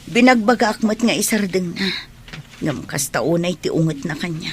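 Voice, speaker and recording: A woman's voice: on the low side, expressive, normal speed, darkish tone, slight background noise. A woman with an Ilocano accent acting a long-suffering wife in a radio drama, confiding in a subdued, plaintive way. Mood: sorrowful.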